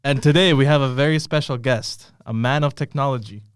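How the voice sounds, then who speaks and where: A man's voice: mid-range voice, a little fast. A man hosting a casual podcast, upbeat and teasing his guest. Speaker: podcast host.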